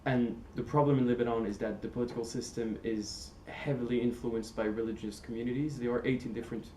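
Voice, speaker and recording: A man's voice: medium pitch, steady tone, fast talker, dark tone, a little hiss or hum. Thoughtful young man explaining, with many uhs. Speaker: student. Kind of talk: casual testimonial.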